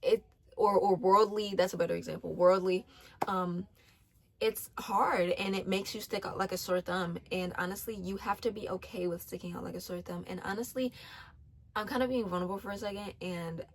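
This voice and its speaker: A woman's voice: mid-range voice, steady tone, normal speed, slight background noise. A twenty-year-old woman giving casual faith-based advice, earnest, with many ums, restarts and repeated phrases. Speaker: faith vlogger.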